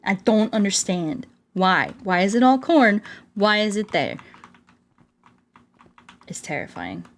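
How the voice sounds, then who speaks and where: An American woman chatting casually as she streams a game, telling things in an animated way and repeating herself for emphasis, with music behind her. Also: mid-range voice, slow pace, slight background noise. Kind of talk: casual chat while playing a game, reading viewer comments.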